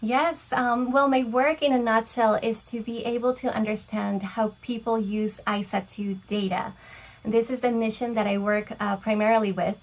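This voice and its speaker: A woman's voice: on the high side, fairly even intonation, moderate pace. Woman explaining her work in an interview. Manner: explains with fillers and restarts. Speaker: interview guest, applications coordinator. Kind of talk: casual explanatory interview answers.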